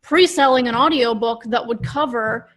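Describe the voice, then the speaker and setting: Female podcast co-host, slightly high pitch, casual and informal chat, loose phrasing, online call recording. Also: normal speed, a crowd in the background. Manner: informal, frequent "like" and "sort of".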